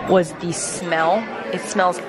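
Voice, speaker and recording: A woman's voice: on the low side, fairly even intonation, takes it slowly. Chatty woman vlogging in a crowd. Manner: upbeat, chatty, with fillers. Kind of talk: casual family vlog. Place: busy restaurant and theme park. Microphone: handheld camera at arm's length. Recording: noisy crowded restaurant. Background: crowd chatter and music.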